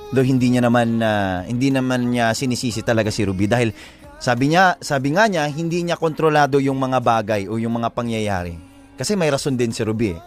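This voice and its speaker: A man with a Filipino accent, a co-host chatting and retelling a story casually, halting and repeating himself as he goes. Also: medium pitch. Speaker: co-host of a story and advice show. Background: background music.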